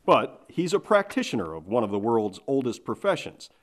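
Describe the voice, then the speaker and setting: A male TV reporter reading scripted news narration in a measured, formal way. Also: mid-range voice, sing-song, background noise.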